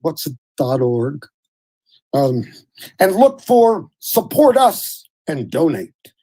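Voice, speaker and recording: A man's voice: fairly high pitch, big pitch swings, slow, dark tone. A man hosting a theatre radio show, making announcements and appeals to the audience.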